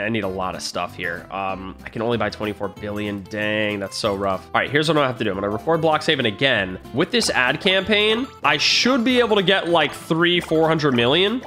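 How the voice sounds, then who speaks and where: A young man in his twenties on a headset mic, giving excitable, jokey commentary while playing a game at home. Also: fairly high pitch. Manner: excitable, jokey, fast reactions. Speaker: gaming YouTuber. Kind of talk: casual gameplay commentary. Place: home gaming room, indoors.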